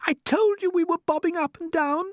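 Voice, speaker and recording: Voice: very high-pitched, fairly quick, no echo, studio quality, pitched above the performer's own voice. A reader voicing a polite, fretful female ladybird in a children's storybook, with genteel manners.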